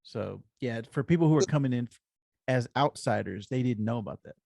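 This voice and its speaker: A man's voice: medium pitch, a little fast, gravelly, warm tone, dry recording. A man co-hosting a podcast, chatting casually and agreeably as a fan. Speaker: comics podcast co-host.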